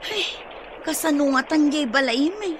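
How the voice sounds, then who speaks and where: A nagging, exasperated Ilocano wife and mother in a radio drama. Her voice is high, with clear background noise around it. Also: animated, normal speed. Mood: troubled. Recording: noisy ambience behind the voice.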